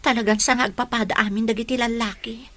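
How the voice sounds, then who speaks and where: An actress as a young Ilocano Filipina girlfriend in a radio play. Her voice is high and clearly bright. She comes across as sweet, trusting and earnest, with a jealous heart beneath. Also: steady tone, fast, natural voice. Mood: hurt, jealous. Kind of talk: Ilocano radio drama acting.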